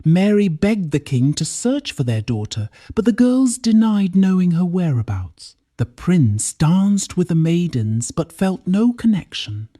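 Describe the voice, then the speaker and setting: A woman's voice: on the low side, big pitch swings, a little slow, few pauses, dark tone, no echo, clean studio sound. A woman storyteller reading a scripted folktale as a dramatic narration.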